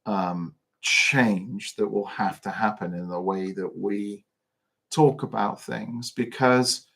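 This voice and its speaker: A man's voice: fairly low pitch, steady tone, slow, gravelly, fairly bright tone. An English man co-hosting a podcast livestream, chatting casually and reflectively, often laughing. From England. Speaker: podcast co-host.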